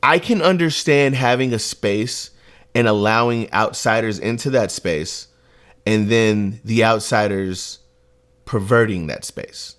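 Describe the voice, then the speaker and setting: A man's voice: on the low side, a little slow, fairly bright tone. A young adult man co-hosting a podcast, talking in a loose, conversational way with plenty of filler asides. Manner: loose, conversational, frequent "you know what I mean". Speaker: podcast co-host. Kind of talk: casual podcast discussion.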